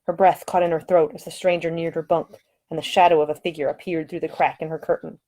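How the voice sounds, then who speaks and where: A woman reading her own romance novel as scripted audiobook narration, with expressive, dramatic storytelling. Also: sits low, fast.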